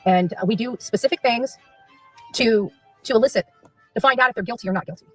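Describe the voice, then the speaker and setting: A woman's voice: on the high side, a little fast, breathy edge, no room sound, faint music behind, low-quality recording. A woman on an informal livestream rant, taunting and repeating phrases for emphasis.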